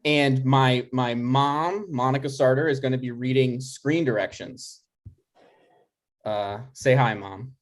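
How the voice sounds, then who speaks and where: A man in his thirties casually and haltingly introducing a live table read as its host. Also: mid-range voice, steady tone. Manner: halting, hesitant. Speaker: host and scriptwriter.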